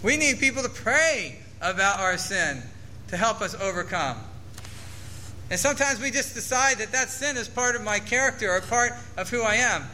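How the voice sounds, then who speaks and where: A man's voice: sits high, animated, normal speed, sharp tone, a little hiss or hum, low-quality recording. A man preaching a sermon to his church, earnestly reading scripture aloud and explaining it. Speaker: pastor.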